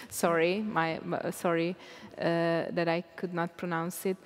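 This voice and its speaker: A woman, in a lowish voice. She answers from a stage, stops and restarts as she hunts for words. The sound rings with the long reverb of a large hall. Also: flat delivery, slow pace. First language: Hungarian. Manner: searching for English words, many restarts. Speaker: panel guest, journalist. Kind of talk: panel discussion answer.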